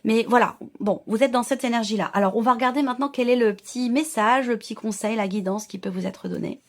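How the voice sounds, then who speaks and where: A woman's voice: fairly high pitch, fast talker. A woman giving an informal tarot reading to viewers, explaining in a reassuring way while searching for words and repeating herself. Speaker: tarot reader and channel host.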